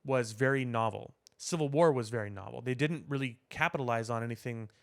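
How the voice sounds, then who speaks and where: Clear-voiced man explaining on a podcast. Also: medium pitch, fairly quick. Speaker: podcast co-host. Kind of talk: casual pop culture discussion.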